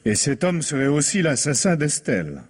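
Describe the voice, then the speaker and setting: Husky, distraught husband. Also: male voice, a little high, sing-song, normal speed. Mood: protesting. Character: distraught, defensive widowed husband under suspicion. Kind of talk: 1950s French radio mystery acting.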